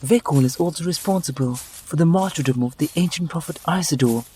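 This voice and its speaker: A man's voice: on the high side, fairly quick, warm tone, a little hiss or hum, lightly acted. A man playing an earnest, learned wolf who recounts history formally in a scripted fantasy drama. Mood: earnest, explaining.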